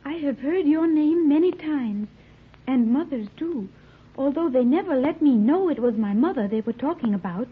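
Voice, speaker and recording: A high, expressive voice of an earnest young island girl. She speaks formally and literally, with careful, stilted diction. It sounds like a tinny, narrow-band radio serial from long ago. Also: normal speed. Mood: grave, explaining. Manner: stilted, precise, formal diction without slang. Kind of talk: old-time radio serial acting. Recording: tinny, band-limited old radio recording.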